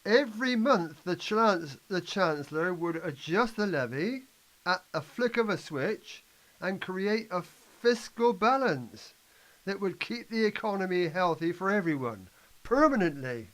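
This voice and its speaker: A man's voice: high-pitched, lively intonation, unhurried, fairly bright tone, poor audio. A man in an amateur play playing a confident, impatient economic reform advocate, lecturing emphatically and stumbling slightly over lines. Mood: earnest, explanatory. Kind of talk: amateur scripted play reading.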